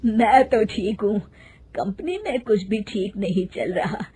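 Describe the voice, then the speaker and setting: A woman's voice: medium pitch, expressive, slow, mellow, slight background noise. A woman voicing a kindly, worried elderly male company accountant in a moral story. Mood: troubled, advising.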